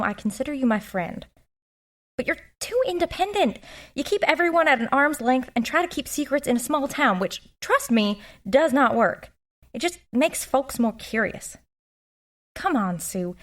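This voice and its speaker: A woman's voice: sits high, very animated, moderate pace. A woman playing a nosy, loyal small-town sidekick in an audio drama, with a frank, folksy and eager manner. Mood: frank, prodding. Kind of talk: audio drama dialogue acting.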